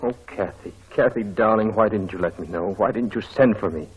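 An actor playing a brooding, bitter, passionate lover in an intense, melodramatic old radio drama style. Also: male voice, fairly low pitch, a little fast, lo-fi sound, close to an everyday voice. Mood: anguished. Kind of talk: 1940s radio drama acting. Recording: noisy old radio broadcast.

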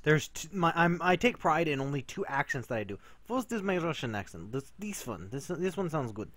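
Jokey gamer guy with a lively voice. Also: fairly high pitch, a little fast, no echo. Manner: jokey, rambling, laughs often, swears. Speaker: gameplay commentator. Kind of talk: casual gameplay chat with a friend.

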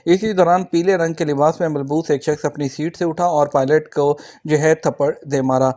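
A man's voice: fairly high pitch, fairly even intonation, normal speed, mellow. A man reading scripted news items as a narrator for an online channel, in a formal manner.

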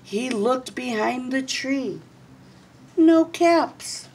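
A woman reads a picture book to children in a fairly high, husky voice. She takes her time, stretching words out and pausing for drama. Her storytelling is expressive and deliberate. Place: small indoor room.

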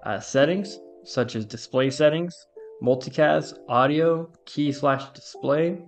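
A man's voice: a little high, takes it slowly, faint music behind. A man presenting a step-by-step tech tutorial in an informal, conversational instructional manner. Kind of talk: casual step-by-step software walkthrough.

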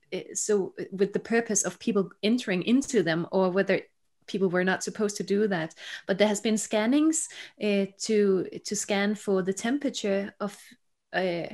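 A woman's voice: mid-range voice, darkish tone. A woman in her thirties hosting a webinar discussion over a video call, with hesitant phrasing. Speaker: webinar host. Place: indoor office, on a video call.

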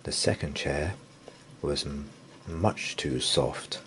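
A man reading a picture book aloud as a bedtime story, in an expressive, sing-song manner. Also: rumbling low, takes it slowly, a little hiss or hum, poor audio. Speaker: children's story reader. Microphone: camera above a picture book.

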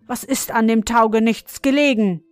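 A woman voicing a rough, scornful young boy who demands his toy back. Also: fairly high pitch, moderate pace, no echo, soft background music, lightly acted.

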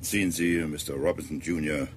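A very deep-voiced ship's captain. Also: male voice, steady tone, a little hiss or hum. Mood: firm, cautious. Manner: authoritative, deliberate, hesitating with ähs. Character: gruff, measured male British ship's captain. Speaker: actor in a radio drama. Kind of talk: German radio drama acting.

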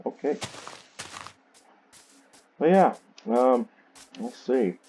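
A man's voice: a little high, very animated, extremely slow, dark tone. A man with a Southern US accent rambling casually to himself as he gives gameplay commentary. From Southern United States. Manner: self-talking, rambling. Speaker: gaming series host. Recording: clearly noisy home recording with clicks.

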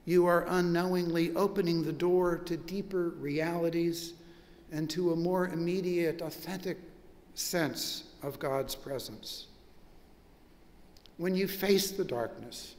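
An older man, a pastor, preaching a measured, reflective sermon with long pauses in a large church sanctuary. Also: fairly high pitch, big-room sound.

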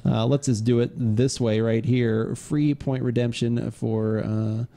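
Brief: man in his 40s, podcast host, mellow and rambling, studio boom mic. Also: fairly even intonation, a little slow. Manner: rambling, jokey, lots of fillers. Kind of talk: casual live-stream chat. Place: home studio. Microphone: studio mic on boom arm.

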